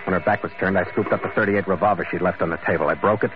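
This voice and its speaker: An actor playing a tough, world-weary investigator in an old-time radio noir, with clipped, dry, wisecracking delivery. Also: male voice, low-pitched, fairly even intonation, rapid-fire, close to an everyday voice. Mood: hardboiled narrating. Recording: muffled vintage radio recording, music behind.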